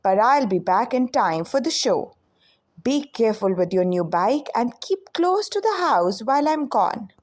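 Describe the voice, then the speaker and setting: A kindly storybook caretaker voiced by a woman, a man speaking fondly to his pet. The voice sits fairly high for him, with lively intonation and gentle, instructive phrasing. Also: measured pace. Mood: gently cautioning. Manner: affectionate, instructive. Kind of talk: children's storybook character reading.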